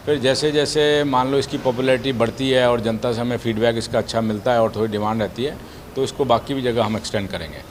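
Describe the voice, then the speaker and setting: A man's voice: mid-range voice, slight background noise. A man speaking as a police official in an explanatory media statement, mixing English words and phrases into his speech. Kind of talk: spoken statement to news media.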